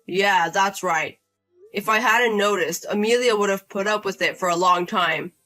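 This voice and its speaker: A woman speaks as a stern husband standing up for his wife, indignant and confronting. The voice is bright and stays at an everyday pitch, with a firm, direct delivery in a drama story. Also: moderate pace, soft background music, lightly acted. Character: protective angry husband, younger brother.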